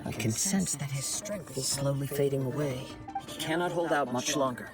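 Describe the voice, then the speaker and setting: An actor playing a gentle young wandering samurai in a video game, soft-spoken, measured, formal and resolute. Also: male voice, mid-range voice, natural voice. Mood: grave. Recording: loud game music behind the voice.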